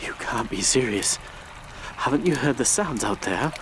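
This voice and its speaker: A man's voice: medium pitch, lively intonation, moderate pace, background noise, natural voice. A man playing an anxious, fussy, bitter grave robber with a nervous, theatrical manner in a gothic horror audio drama.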